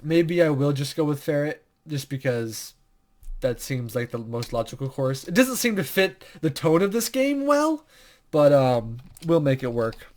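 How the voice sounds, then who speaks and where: A man's voice: a little high, very animated, a little slow, darkish tone. A man doing let's play commentary, reading visual novel text aloud and stumbling over unfamiliar words. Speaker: gaming video host.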